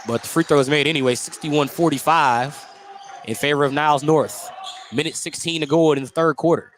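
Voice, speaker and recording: A man's voice: on the high side, fairly even intonation, fairly quick, slight background noise. A man doing live radio play-by-play of a basketball game, calling each play in clipped, detailed bursts. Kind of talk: live radio sports commentary. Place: indoor high school gymnasium.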